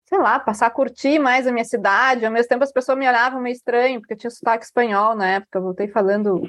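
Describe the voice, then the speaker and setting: Author guest, woman from Brazil, fairly high voice, dry close sound, rambling lively storyteller. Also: fast talker, dry recording. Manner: chatty, many fillers, lively retelling. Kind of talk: casual interview chat.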